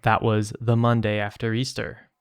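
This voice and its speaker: A man's voice: fairly low pitch, completely flat delivery, moderate pace, clean tone, no echo, clean studio sound. A man co-hosting a podcast, reading listener stories aloud and chatting casually with joking asides. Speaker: podcast co-host.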